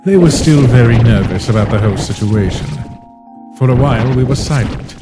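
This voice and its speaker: A man voicing a weary, brooding ancient being who narrates a comic dub in a solemn, measured way. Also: medium pitch, natural voice. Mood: pensive, guarded. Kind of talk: scripted first-person comic dub narration. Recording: voice under loud music.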